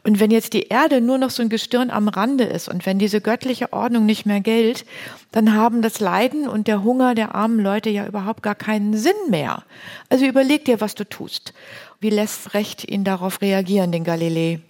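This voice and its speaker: A woman with a medium-pitched voice hosts a conversation. She retells a story in everyday, colloquial words and leads the talk along with questions. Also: normal speed, darkish tone. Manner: colloquial, plain-spoken. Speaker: host.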